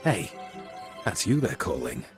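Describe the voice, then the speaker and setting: An actor playing a busy, resigned crime-family agent in a video game, casual and matter-of-fact. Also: male voice, sits low, big pitch swings, measured pace. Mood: casual, brisk. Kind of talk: scripted video game dialogue. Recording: loud music behind.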